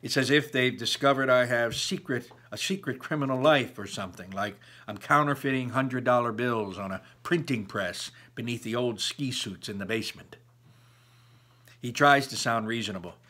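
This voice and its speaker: A man reading a children's novel aloud expressively, with emphatic pauses and thoughtful asides to the listener. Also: mid-range voice, sharp tone. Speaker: read-aloud narrator.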